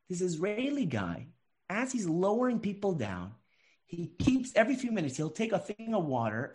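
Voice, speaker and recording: Lively guy telling a story, kinda high voice, bouncy, restarts a lot. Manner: animated, repeats words while telling stories. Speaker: guest speaker. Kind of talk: storytelling talk.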